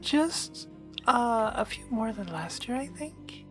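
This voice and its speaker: A woman's voice: on the high side, lively intonation, takes it slowly, fairly bright tone, faint music behind. A woman playing a nervous, deferential servant to a vampire princess, hesitant and flustered, in an ASMR roleplay.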